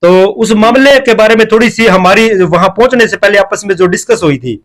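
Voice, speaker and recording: High-voiced man interviewing by phone. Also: fairly quick. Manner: insistent, repeats affirmations. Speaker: video reporter interviewing callers.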